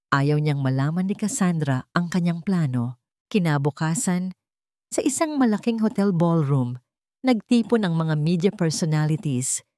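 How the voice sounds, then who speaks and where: A woman's voice: low-pitched, warm tone, dry recording, clean studio sound. A woman reading a dramatic scripted romance story. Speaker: story narrator.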